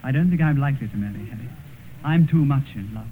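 An actor playing a naive, petulant young gentleman in love in an old radio drama, earnest, intense and theatrical. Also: mid-range voice, animated, quick pace, lightly acted. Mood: smitten. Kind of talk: 1950s radio drama acting. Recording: muffled, hissy old radio broadcast.

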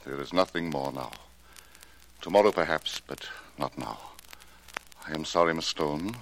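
An actor playing a suave, mercenary fake fortune-teller in a 1940s radio drama, speaking formally and with theatrical measure. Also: male voice, extremely low pitch, normal speed, gravelly, fairly bright tone, exaggerated voice. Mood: mysterious, solemn. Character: suave, mercenary male fake crystal-ball seer. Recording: noisy, clicking old radio transcription.

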